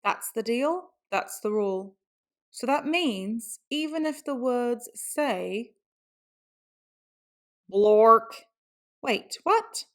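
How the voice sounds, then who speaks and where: A woman's voice: a little high, lively intonation, takes it slowly. A woman reading a children's book aloud in a theatrical storytelling style, with exaggerated exclamations.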